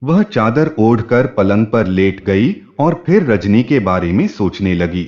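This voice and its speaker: A man's voice: fairly low pitch, big pitch swings, unhurried, darkish tone. A man narrating a scripted story as a storyteller. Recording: dull, muffled lo-fi audio.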